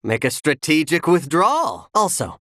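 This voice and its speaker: Pompous, lively-pitched card strategist. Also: male voice, moderate pace, no echo, clean studio sound. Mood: self-assured. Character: smug self-serious card game strategy adviser. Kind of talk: video game character dialogue.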